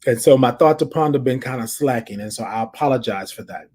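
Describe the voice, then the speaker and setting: A man's voice: medium pitch, fast, mellow, slightly rough. An American man hosting a casual podcast, chatting and telling stories straight to his listeners, repeating phrases for emphasis. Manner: addresses listeners directly as an audience. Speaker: podcast host. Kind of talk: casual chatty check-in and storytelling.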